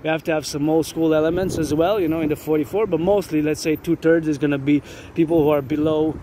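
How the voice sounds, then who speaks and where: Higher-pitched man rambling over loud traffic noise. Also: steady tone, quick pace. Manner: repeats words and phrases insistently. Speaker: vlogger. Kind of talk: rambling outdoor monologue. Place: outdoors near a road with vehicles. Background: passing vehicles, urban ambience.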